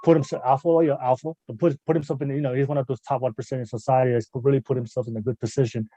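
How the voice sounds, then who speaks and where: A man hosting a casual podcast chat, talking quickly with many restarts and hedges. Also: medium pitch, steady tone, warm tone, no room sound, rough recording. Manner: rapid, restarts and hedges a lot. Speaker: podcast host.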